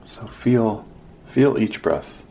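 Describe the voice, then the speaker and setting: A man leading a guided meditation as a teacher, giving gentle, sparse instructions with long pauses. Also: lowish voice, expressive, vocal fry, slight background noise, low-quality recording.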